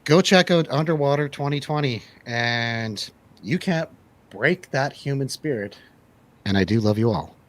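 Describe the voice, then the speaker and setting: A man's voice: mid-range voice, lively intonation, measured pace. A man hosting a casual movie review radio show, bantering in a playful, jokey manner.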